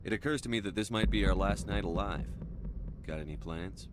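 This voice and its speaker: A man playing a steady, confident military commander in a video game, terse and firm. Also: low voice, brisk, background noise, close to an everyday voice. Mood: teasing. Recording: music behind the voice.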